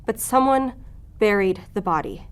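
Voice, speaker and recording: A woman's voice: mid-range voice, expressive, unhurried, close to an everyday voice. A woman acting in audio fiction as a driven, blunt investigator who thinks aloud intensely. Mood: brooding.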